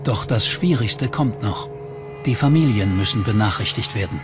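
A man's voice: lowish voice, lively intonation, normal speed, natural voice. A man delivering scripted narration for a true-crime documentary. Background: music under the voice.

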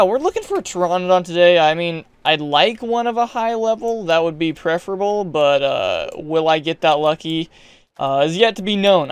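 A young man with a high, smooth voice chats his way through a survival game at his desk mic. He is excitable and shouts at pesky creatures. His voice is a bit hoarse, as if he is losing it. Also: no room sound. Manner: chatty, excitable, yells at creatures. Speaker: gaming YouTuber. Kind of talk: casual gameplay commentary.

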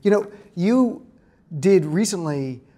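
A man's voice: high voice, expressive, slow pace, dark tone. A middle-aged man hosting a studio interview, asking long, rambling questions with frequent restarts. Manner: chatty, rambling questions with restarts, gestures with hands. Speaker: interview host. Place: bright studio set, seated at a table.

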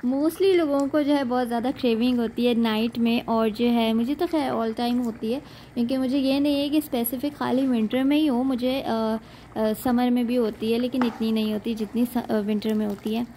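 A woman's voice: a little high, fairly even intonation, moderate pace, dark tone, a little hiss or hum, poor audio. A young woman, narrating her day in a chatty voice-over and leaning on a lot of "aur jo hai" fillers. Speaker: lifestyle vlogger. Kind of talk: casual voice-over narration of daily life.

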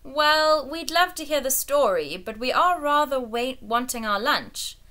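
A woman's voice: high voice, lively intonation, a little slow, bright, forceful tone, pitched above the performer's own voice, full character voice. A woman voicing a kindly but vain young king who speaks in a courtly, impatient way. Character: young king, kindly yet selfish, hungry.

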